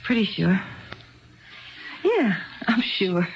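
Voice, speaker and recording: Hesitant, rambling wife in old radio drama. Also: female voice, mid-range voice, big pitch swings, slow pace, muffled recording, a little hiss or hum. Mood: chatty, unsure. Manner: hesitant, rambling, seeking reassurance. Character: confused, chatty, insecure wife drinking alone in a bar. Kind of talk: 1970s radio drama acting. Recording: band-limited 1970s radio broadcast, faint music.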